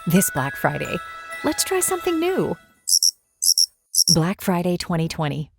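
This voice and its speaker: A woman's voice: fairly low pitch, wildly swooping pitch, a little slow, creaky, music in the background, heavily acted. A woman voicing a mock commercial as a dramatic announcer.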